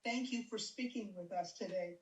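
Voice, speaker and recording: A woman interviewer asking polite, measured, scripted questions over a video call. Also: medium pitch, echoey room, recorded from a distance, poor audio.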